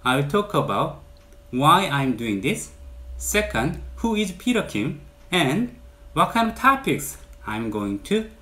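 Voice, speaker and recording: A man in his forties from South Korea with a Korean accent, speaking earnestly and in measured phrases to camera as he introduces his channel as a realtor, in a bright indoor room. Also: fairly high pitch, very animated. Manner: earnest, measured, with stressed lifts on key words.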